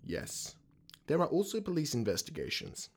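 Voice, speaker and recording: An Australian actor playing a creepy, formal school headmaster in a radio drama, ingratiating and deliberate. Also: male voice, a little high, huge pitch swings, a little mellow, slightly rough, heavily acted. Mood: grave. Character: creepy middle-aged male school headmaster, smooth-talking, secretive.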